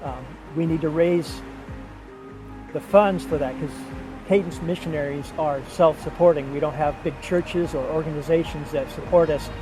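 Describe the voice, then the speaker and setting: A man speaking earnestly and in measured phrases, giving a personal testimony as a missionary. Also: on the high side, fairly even intonation, low-quality recording. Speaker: missionary couple member seeking support. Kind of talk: documentary-style personal testimony and appeal. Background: background music.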